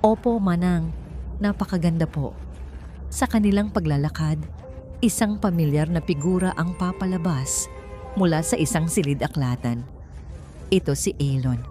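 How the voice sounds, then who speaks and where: Low-pitched woman narrating over music. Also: normal speed, mellow. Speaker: story narrator. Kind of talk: scripted dramatic story narration. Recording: music bed under the voice. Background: background music.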